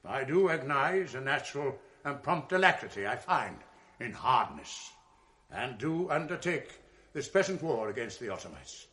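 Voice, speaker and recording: A man's voice: mid-range voice, big pitch swings, normal speed, bright, forceful tone. A man playing a proud, eloquent middle-aged general in a 1980s radio drama, delivering sweeping declamatory verse. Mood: resolute.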